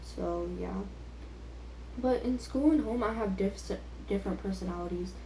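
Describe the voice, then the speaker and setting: Teen female vlogger, soft-edged relaxed tone, self-deprecating off-the-cuff chatter, recorded in a reverberant room. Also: medium pitch, moderate pace, creaky. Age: teenager. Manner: self-deprecating, filler-heavy youthful talk. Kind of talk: casual rambling chat. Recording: echoey room with faint background noise.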